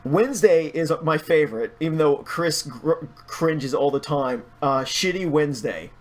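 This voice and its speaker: A man with a somewhat high voice talks as a podcast guest. He is casual and opinionated, rambling through his points and swearing freely. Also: lively intonation, measured pace. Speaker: podcast guest, video creator. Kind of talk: casual podcast interview chat.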